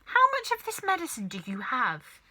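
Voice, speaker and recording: Woman doing a very high, excitable little father. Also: normal speed, full character voice. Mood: eager. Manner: yelling, exclaiming breathlessly in short bursts. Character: small excitable father, easily worked up.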